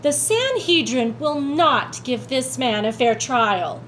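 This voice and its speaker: A woman's voice: high-pitched, expressive, slow pace, fairly bright tone, a little hiss or hum. A woman playing a prosecutor in a church radio play, emphatic and objecting.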